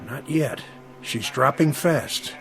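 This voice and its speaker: A man's voice: mid-range voice, slow, creaky, a bit bright, faint music behind, low-quality recording. A man playing an alert air traffic controller in a television drama, giving terse, clipped reports. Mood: urgent.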